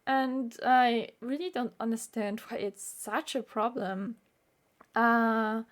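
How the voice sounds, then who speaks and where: Slow, earnest woman. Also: on the high side. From Austria. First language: German. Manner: earnest, halting with frequent fillers. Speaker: vlogger. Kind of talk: casual talk to camera.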